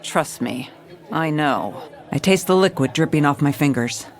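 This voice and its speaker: An actress playing a world-weary, dogged female police commissioner, narrating noir-style in a dry, deadpan way. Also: low-pitched, unhurried. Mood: dry, determined. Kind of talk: noir detective narration and dialogue.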